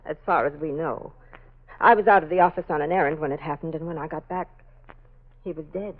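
A woman playing a guarded doctor's assistant in 1940s radio drama, measured and formal. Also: deep voice. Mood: composed, somber. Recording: thin, muffled old radio recording.

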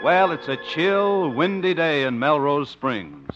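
An American man announcing in 1950s radio style, reading scripted copy in a polished, measured way. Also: on the high side, expressive. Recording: muffled old 1950s radio recording.

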